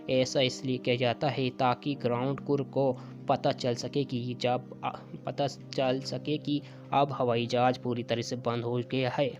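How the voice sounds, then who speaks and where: A man reads a scripted explainer narration in short phrases, stumbling now and then. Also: medium pitch. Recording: dull lo-fi voice track. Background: music with guitar.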